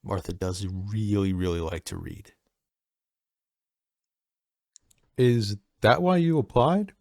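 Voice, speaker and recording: A man playing a soft-spoken, low-voiced manor assistant. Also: very animated, measured pace, no echo, studio quality. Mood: curious. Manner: soft-spoken, casual, hesitant, chatty. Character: friendly, easygoing male personal assistant at a manor. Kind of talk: soft-spoken audio roleplay addressed to the listener.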